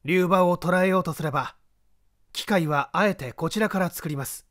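A man's voice: high voice, normal speed, a bit bright, no room sound, clean studio sound, pitched above the performer's own voice. A man reading an audiobook, voicing a proud, iron-willed detective in a grave, declamatory manner.

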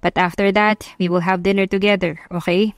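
A woman's voice: mid-range voice, flat delivery, moderate pace, dry recording, studio quality, faint music behind, lightly acted. A woman voicing a doting, teasing young husband in a romance audiobook, tender and mixing English phrases into Tagalog. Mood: teasing, affectionate.

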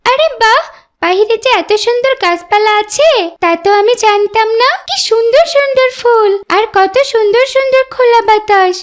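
Little girl duckling, extremely high voice, childlike, headstrong, pouty, naive cartoon character. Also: moderate pace. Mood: delighted. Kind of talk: animated fairy-tale voice acting.

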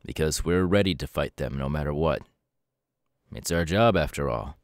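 A man playing a serious pro hero mentor in an ASMR audio roleplay, giving earnest, measured instructions to a protégé. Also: rumbling low, dry recording, studio quality. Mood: serious, trusting.

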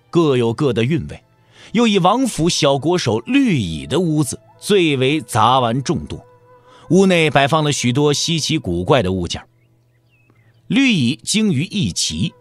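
A man's voice: on the high side, no room sound. A man narrating a martial-arts audio drama as a scripted storyteller.

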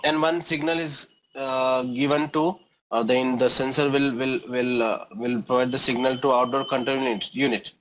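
A man's voice: medium pitch, steady tone, normal speed, fairly bright tone, slight background noise, rough recording. A middle-aged man with an Indian accent giving an explanatory lecture as an engineering instructor, often checking understanding with short questions and tags.

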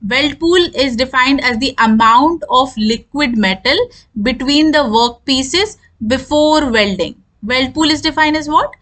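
Lady teacher doing an online lecture, high bright voice, repeats definitions carefully. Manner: repetitive, deliberate explaining. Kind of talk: instructional lecture explaining technical terms.

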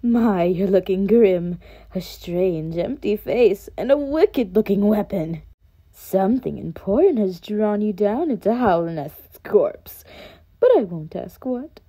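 A woman's voice: medium pitch, expressive, takes it slowly, warm tone, slightly rough, heavily acted. Woman as a sly, swooping snail shaman. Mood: sly, amused. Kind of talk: fan dub of video game dialogue.

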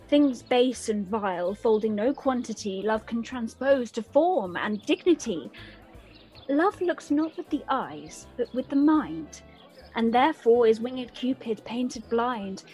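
Young female romantic acted by a woman, lovelorn, bitter, determined, raised pitch, dramatic Shakespearean verse, radio play. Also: darkish tone, poor audio. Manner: dramatic, measured verse delivery.

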